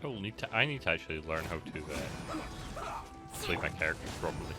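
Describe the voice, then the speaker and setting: A man giving casual live-stream game commentary, halting and stammering as he playfully banters with chat. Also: low voice, expressive, loud music behind the voice.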